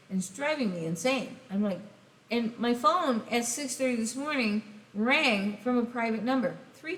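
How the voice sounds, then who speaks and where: Hoarse woman in an echoey room. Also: medium pitch. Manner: rambling, speaks straight to the listener. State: hoarse, losing her voice. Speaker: tarot reader. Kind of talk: casual channeled tarot reading. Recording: echoey room, faint hiss.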